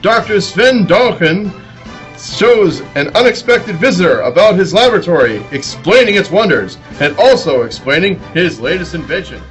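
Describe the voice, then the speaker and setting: A man delivers dramatic old-time radio serial narration. His high voice swoops widely, with faint music behind it. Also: lively intonation, moderate pace, fairly bright tone, low-quality recording. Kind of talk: dramatic 1940s radio serial narration, live table read.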